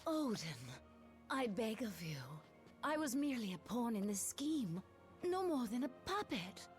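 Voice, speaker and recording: A woman's voice: a little high, big pitch swings, a little slow. An actress playing a scheming, imperious queen of the dead in a video game, haughty and theatrical. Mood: pleading. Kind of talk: video game cutscene acting. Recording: loud orchestral music behind. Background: loud music.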